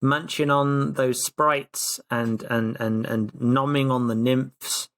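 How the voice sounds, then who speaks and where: A man of average pitch talks casually on a tabletop gaming podcast. He phrases things playfully and theatrically, in the manner of a player recounting an adventure to friends. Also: steady tone, takes it slowly, a bit bright. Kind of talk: casual chat and recapping.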